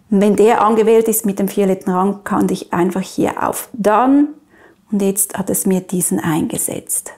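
A woman in her forties with a Swiss German accent, explaining an app step by step in a clear, instructional manner. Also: mid-range voice, darkish tone. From Switzerland. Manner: clear, instructional, addresses the viewer directly. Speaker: presenter of app tutorials. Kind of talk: step-by-step screen tutorial.

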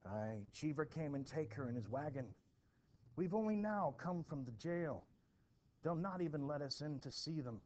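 Man reading as distraught husband in stage play: urgent, raised pitch, muffled recording. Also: animated, moderate pace, close to an everyday voice. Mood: frantic. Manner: urgent, loud, exclaiming. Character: frantic, distraught male villager pleading for his wife. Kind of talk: expressive classroom play reading. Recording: dull, noisy home recording.

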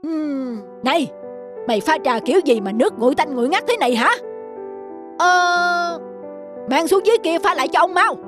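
A woman voicing a pompous, bossy fat master of the house in an animated folktale. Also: sits high, moderate pace. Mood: bossy, irritated. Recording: music behind the voice.

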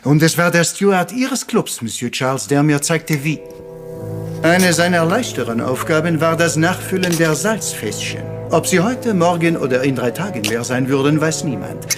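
Guy acting as little detective, highish voice, polite and theatrical, music underneath, old crime radio play. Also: animated, natural voice. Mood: dramatic, explanatory. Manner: courteous, deliberate, peppering speech with French words. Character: polite, theatrical little Belgian detective, patient and self-assured.

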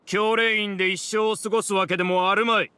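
An actor playing an arrogant mastermind villain in a video game, speaking with haughty, theatrical contempt. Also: male voice, high voice, level tone, a little fast, bright, forceful tone, clear, resonant tone, dry recording. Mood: scornful. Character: arrogant villainous male mastermind ruling a hive mind. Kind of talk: Japanese video game voice acting.

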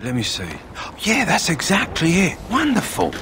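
An actor playing an earnest army kennel keeper in a fantasy video game. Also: male voice, sits high, huge pitch swings, normal speed, sharp tone, full character voice. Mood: relieved. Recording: clear background noise, outdoor game ambience.

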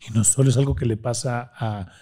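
A man's voice: mid-range voice, fairly even intonation, dark tone. A man in his thirties with a Mexican accent, a guest speaking passionately in a studio interview with a desk microphone. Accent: Mexican Spanish. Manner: passionate, gestures a lot, lists things rapidly. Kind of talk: animated interview conversation. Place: studio with blue-lit curtains. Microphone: studio mic on a desk stand.

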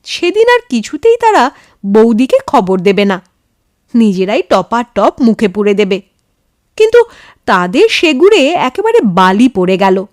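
A woman narrating a ghost story in an expressive, suspenseful, dramatic manner. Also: on the high side, measured pace, no room sound, clean studio sound.

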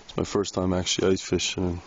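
A man casually narrating an ice-fishing trip, halting with many fillers, recorded outdoors on a frozen lake. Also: low-pitched, monotone. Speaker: outdoor vlogger. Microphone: handheld camera mic. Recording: wind noise on a lo-fi camera mic.

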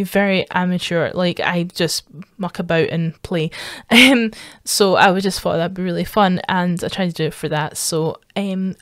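A woman's voice: medium pitch, no room sound, studio quality. A young woman with an Edinburgh accent chatting casually to her viewers, rambling with lots of fillers and restarts. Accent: Edinburgh Scottish. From Scotland. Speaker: streamer.

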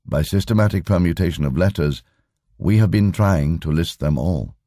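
Man voicing a deep-voiced, unruffled lama. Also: warm tone, dry recording, clean studio sound. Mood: patient, formal. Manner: formal, measured, unruffled. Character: calm, imperturbable male Tibetan lama explaining patiently.

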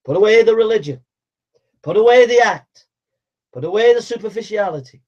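A man's voice: sits high, expressive, fairly quick, a little mellow, muffled recording. A man preaching a fiery, confrontational sermon, scolding his listeners with urgent, repetitive calls to repent.

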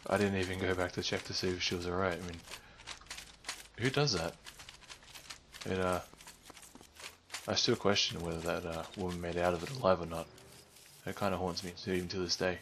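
A man telling a story as commentary over game footage, halting and hesitant as he goes. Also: low voice, steady tone, a bit bright, slight background noise. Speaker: narrator, gamer.

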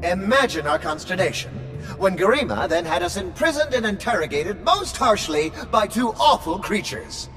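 Brief: prim droid, high and animated, reverberant hall sound. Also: male voice, moderate pace, soft background music. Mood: indignant. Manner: formal, fussy, precise. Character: prim fussy male droid companion. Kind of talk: video game cutscene.

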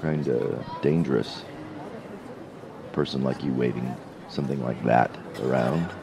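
An actor playing an insinuating, falsely friendly space-station policeman, drawling and halting as he needles, in an audio drama. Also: extremely low pitch, steady tone, gravelly, noisy background, full character voice.

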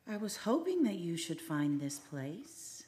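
An actress playing a mysterious, imperious sea woman who longs for love, speaking formally and deliberately in an old-time radio drama. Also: on the low side, very animated, mellow. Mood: yearning, grave.

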